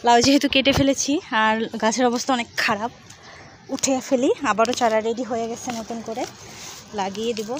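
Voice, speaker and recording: A woman's voice: on the high side, steady tone, a little fast. A woman with a Bangladeshi accent chatting casually to viewers as a rooftop garden vlogger, explaining things with some repetition. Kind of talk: casual vlog chat to viewers. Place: open rooftop garden in a city. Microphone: handheld phone behind the camera. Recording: noisy outdoor phone recording.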